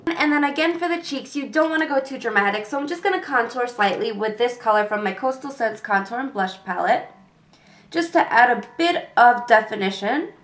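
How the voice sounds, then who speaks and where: Young woman, somewhat high voice, stuffy from a cold, calm tutorial explaining. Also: a little fast, a little hiss or hum. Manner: calm step-by-step explaining with fillers. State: congested from a cold. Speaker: beauty vlogger. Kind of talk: makeup tutorial narration.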